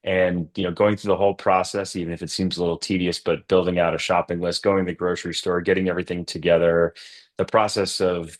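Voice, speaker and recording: A middle-aged man from Massachusetts, talking casually as a podcast guest, relaxed with lots of fillers and restarts. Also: sits low, quick pace. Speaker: podcast guest, coffee company co-founder. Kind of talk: casual interview chat.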